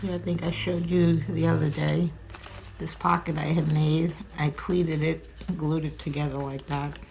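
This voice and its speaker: An older woman hosting a craft tutorial, chatting and thinking aloud as she works, sounding a little stuffy and hoarse from a cold. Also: sits low, little pitch movement, moderate pace, a little mellow, rough recording. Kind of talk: relaxed craft demonstration. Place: craft table at home, indoors. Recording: audible crinkling craft noise.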